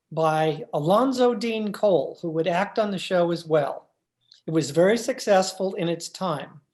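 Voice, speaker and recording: A woman's voice: lowish voice, darkish tone. A woman reading a scripted introduction as the announcer of an old-time radio drama.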